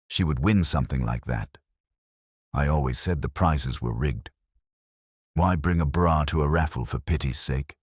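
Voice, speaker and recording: Man voicing catty, deep-voiced village gossips. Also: moderate pace, breathy edge, no echo, clean studio sound. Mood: catty grumbling. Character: gossiping, scandal-loving villagers at a parish fete.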